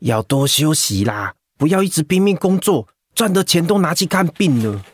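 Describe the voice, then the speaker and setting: An actor with a Taiwanese accent playing a caring, joking coworker with a folksy manner, in a radio drama. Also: male voice, fairly high pitch, very animated, normal speed, slightly rough. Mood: concerned. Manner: folksy, switches into Taiwanese sayings.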